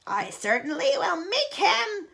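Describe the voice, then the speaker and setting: A woman's voice: fairly high pitch, very animated, extremely slow, vocal fry, a bit bright, heavily acted. A woman voicing a spiteful old aunt in a storybook reading, sneering and snappish. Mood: shrieking.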